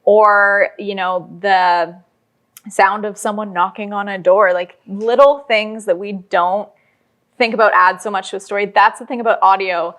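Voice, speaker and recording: A woman's voice: mid-range voice, steady tone, a little slow, a bit bright. A woman speaking as an interview guest, explaining her creative work in a conversational way with illustrative examples. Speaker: interview guest, audio drama writer.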